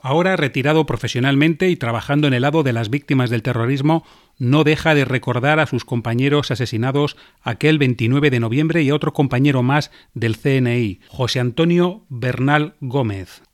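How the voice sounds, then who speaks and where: A man giving measured, solemn scripted narration for a documentary. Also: mid-range voice.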